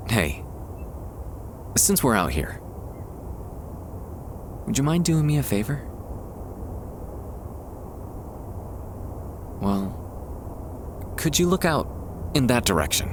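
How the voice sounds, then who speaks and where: A man playing a shy, tender young man confessing love to a childhood friend, hesitant and soft-hearted, in an intimate ASMR roleplay. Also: fairly low pitch, background noise. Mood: tender, wistful. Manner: soft-hearted, hesitant, halting with nervous chuckles. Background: quiet park ambience.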